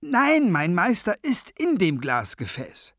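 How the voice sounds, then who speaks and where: Man doing an eager, high-voiced zombie servant. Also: huge pitch swings, slow, a bit bright, no room sound, studio quality, heavily acted. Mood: eager, nervous. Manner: earnest, naive, eager to please. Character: eager, dim-witted, good-natured male zombie servant.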